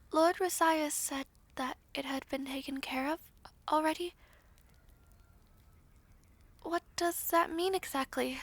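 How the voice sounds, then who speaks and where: Woman doing a shy noble-born maid for audio roleplay, high voice, slow n hesitant, bit of creak, super polite. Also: no echo. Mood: overwhelmed, grateful. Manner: hesitant, polite, modest, old-fashioned formal speech. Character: shy, humble young maid of noble birth, newly betrothed. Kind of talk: fantasy audio roleplay acting.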